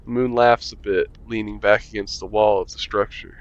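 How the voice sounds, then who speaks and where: A man reading a visual novel aloud as a gamer, voicing narration and the player in a relaxed, wry way and muttering while he plays. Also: on the low side, moderate pace. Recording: lo-fi mic with faint music.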